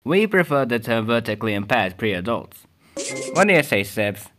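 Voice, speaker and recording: A man's voice: mid-range voice, big pitch swings, moderate pace, over loud music, lightly acted. A man voicing a cocky, wisecracking young big brother in a cartoon game fandub. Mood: cocky, curious.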